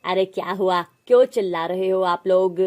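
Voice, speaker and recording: A woman's voice: lowish voice, steady tone, normal speed, a little mellow, close to an everyday voice. A woman voicing a bossy, callous man in a family story, curt and domineering. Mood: domineering irritation. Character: bossy, callous younger brother-in-law, adult man.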